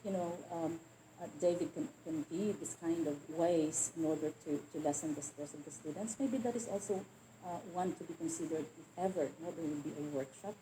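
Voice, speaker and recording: A woman's voice: low voice, fairly quick, noisy background. A woman teacher in a discussion, speaking hesitantly with many restarts and fillers. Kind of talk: conversational Q&A.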